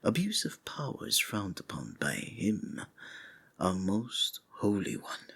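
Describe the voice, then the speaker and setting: A man playing a sinister, seductive cult preacher in an intimate ASMR roleplay, husky and breathy, often sinking into whispers, with archaic, formal endearments. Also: lowish voice, sing-song, takes it slowly, a bit bright, exaggerated voice. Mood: ominous, seductive.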